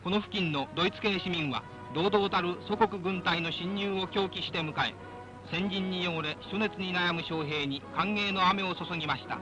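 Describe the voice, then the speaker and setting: A man's voice: sits high, moderate pace, lo-fi sound. A man from Japan narrating a 1940s wartime newsreel in a formal, declamatory, rousing manner. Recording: old band-limited 1940s newsreel soundtrack, music behind. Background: loud background music.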